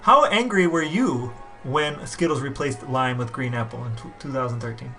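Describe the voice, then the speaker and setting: A man's voice: medium pitch, moderate pace, bright, forceful tone, faint music behind. A man co-hosting a podcast, chatting and asking questions in a wry, mock-dramatic way. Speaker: podcast co-host. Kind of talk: casual chat and interviewing.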